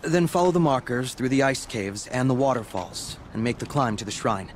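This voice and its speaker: An actor playing a humble, earnest apprentice shaman in a video game, speaking in a measured, solemn way. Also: medium pitch, slight background noise, close to an everyday voice. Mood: earnest, cautioning. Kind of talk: video game dialogue acting.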